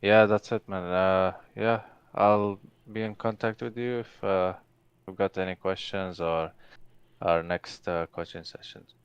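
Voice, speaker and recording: A man's voice: deep voice, steady tone, measured pace, clear, resonant tone, no room sound, highs cut off. A man receiving coaching, answering briefly and agreeably in casual chat over a voice call. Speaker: coaching student.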